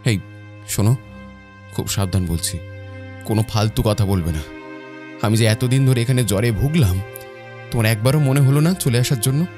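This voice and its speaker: A man's voice: medium pitch, normal speed, pauses often, lightly acted. A man playing a stern but remorseful grown son and husband in a melodramatic cartoon. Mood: angry, scolding. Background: music.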